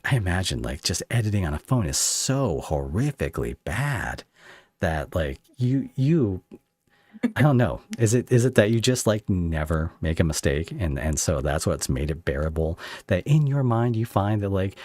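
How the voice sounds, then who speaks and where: A man hosting a casual interview, asking rambling questions in a halting way. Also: low-pitched, lively intonation, a little mellow, no room sound. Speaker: podcast host interviewing a creator.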